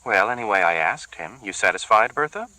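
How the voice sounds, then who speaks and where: A man voicing a tired, bitter woman who speaks slowly and distinctly. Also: on the low side, lively intonation, no room sound, low-quality recording. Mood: weary bitter. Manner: slow, distinct, quietly cutting. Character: weary bitter woman, slow and deliberate.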